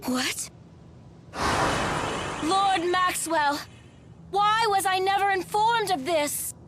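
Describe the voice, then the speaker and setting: High-voiced, haughty female spirit. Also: very slow, noisy background, full character voice. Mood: shaken, indignant. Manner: formal, imperious, precise diction. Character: haughty, duty-bound female spirit, coldly scornful, fiercely devoted. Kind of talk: dramatic video game voice acting.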